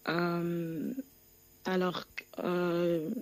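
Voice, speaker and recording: A woman in her thirties from the Democratic Republic of the Congo, calling in to a radio show to give a personal testimony in a soft, halting way with frequent pauses. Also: lowish voice, completely flat delivery. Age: 30s. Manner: halting, soft-spoken, pauses often with fillers. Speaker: caller.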